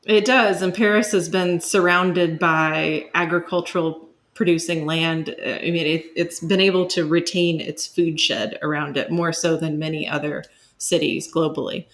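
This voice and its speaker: A woman speaking as an academic guest, giving explanatory interview answers in measured, searching phrases with asides. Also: deep voice, steady tone, a bit bright, a little hiss or hum.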